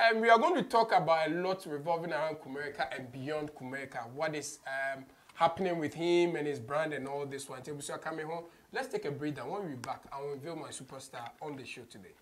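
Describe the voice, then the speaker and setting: Male Ghanaian interviewer, thirties, slightly high pitch, animated delivery, code-switching conversational style. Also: fairly quick, a little hiss or hum. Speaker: show host. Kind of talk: conversational radio interview, mixing English and Twi. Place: small office studio, indoors.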